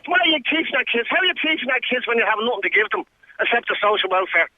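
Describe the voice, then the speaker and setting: A man's voice: very high voice, big pitch swings, racing, no room sound. A man calling into a radio show, arguing in a sarcastic, taunting way and talking over others. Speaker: caller. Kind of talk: heated call-in argument. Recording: tinny phone line.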